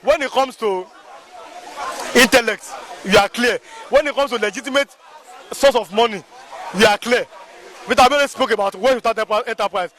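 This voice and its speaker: High-pitched emphatic Nigerian man. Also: normal speed, pauses often, a bit bright, a faint crowd. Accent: Nigerian (Yoruba). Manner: emphatic and repetitive, often asking "you understand?". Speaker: local spokesman of a political movement. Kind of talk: impassioned street interview.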